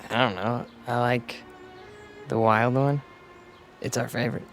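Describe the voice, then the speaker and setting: Man playing a creaky, slow-talking smitten youth. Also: medium pitch, steady tone, faint music behind, natural voice. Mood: shy admiration. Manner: hesitant, tender, unhurried. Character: gentle, smitten young alien man passing as human. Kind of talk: radio drama acting.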